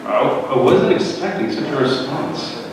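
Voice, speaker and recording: A man's voice: medium pitch, animated, a little slow, close to an everyday voice. A man playing an impatient, insolent younger son in a dramatic reading, brash and sarcastic. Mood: incredulous. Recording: echoey hall, distant mic, faint noise.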